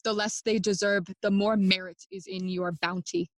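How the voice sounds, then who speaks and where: A woman playing a bitter, grieving young prince in a bilingual classical audio play, speaking theatrical, deliberate verse. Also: mid-range voice, steady tone, no room sound, lightly acted. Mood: wry, courteous. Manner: theatrical, deliberate, switching between English and Spanish.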